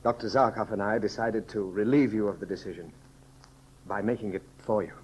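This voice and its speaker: An actor playing a grave, loyal companion and adviser, speaking formally and with measured solemnity in a 1930s radio serial. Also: male voice, on the low side, steady tone, a little mellow, lightly acted. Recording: noisy 1930s radio recording.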